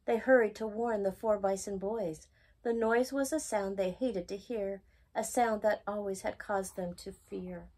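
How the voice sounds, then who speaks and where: A woman reading a rhyming children's picture book aloud as a storytime host, with expressive, singsong emphasis. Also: mid-range voice, a little slow.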